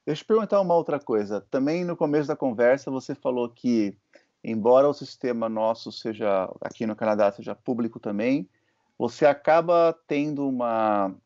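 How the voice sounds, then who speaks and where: A Brazilian man hosting an interview, telling stories in a relaxed way and checking in with his listener as he goes. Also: medium pitch, normal speed. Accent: Brazilian Portuguese. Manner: conversational storytelling, checks in with "né?" and "certo?". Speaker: host and physician. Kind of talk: casual interview chat. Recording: muffled lo-fi audio.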